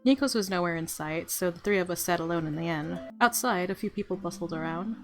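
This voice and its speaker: A woman's voice: on the low side, fast, over music. Woman reading a visual novel aloud. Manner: reactive, thinking aloud. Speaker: game streamer.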